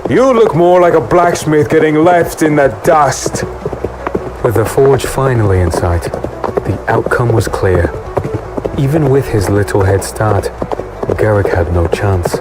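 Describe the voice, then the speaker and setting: An actor playing a confident, teasing warrior hero who also narrates a fantasy audio drama, with a creaky voice. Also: male voice, mid-range voice, close to an everyday voice, poor audio. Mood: rousing, taunting. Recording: loud music over the voice.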